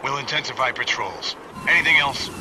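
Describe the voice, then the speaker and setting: An actor playing a guarded, commanding villain officer in a video game. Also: male voice, medium pitch, lively intonation, a little fast, noisy background, close to an everyday voice.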